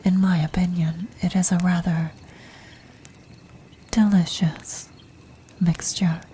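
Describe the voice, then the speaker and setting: A woman voicing an ancient, commanding vampire matriarch in a whispered ASMR fantasy roleplay, refined, breathy and formal. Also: lowish voice, little pitch movement, mellow, slight background noise. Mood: savoring, deliberate. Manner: formal, measured, aristocratic.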